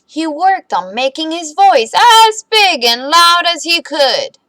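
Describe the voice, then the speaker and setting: Slow, high-pitched woman reading a children's story. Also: no echo, studio quality. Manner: animated, deliberate delivery for young children. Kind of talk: expressive read-aloud bedtime story narration.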